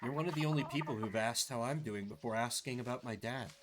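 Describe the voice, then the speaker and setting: A man voicing an earnest, dutiful young woman in game dialogue, measured and restrained. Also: mid-range voice, lightly acted. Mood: earnest, weary. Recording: clearly noisy background.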